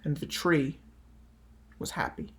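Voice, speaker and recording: A man narrating a children's picture book aloud as a storyteller, measured and unhurried with soft line endings. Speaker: storyteller reading aloud for a library.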